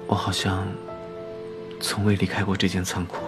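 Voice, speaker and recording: A man's voice: low-pitched, completely flat delivery, moderate pace, loud music behind the voice, lightly acted. A man acting in a radio drama as a meek, poor young shop clerk with a hesitant, self-effacing way of talking. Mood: subdued, hesitant.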